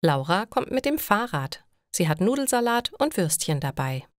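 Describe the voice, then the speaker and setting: A woman reading scripted stories for language learners in a clear, deliberate narrating style. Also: lowish voice, sing-song, no room sound, clean studio sound.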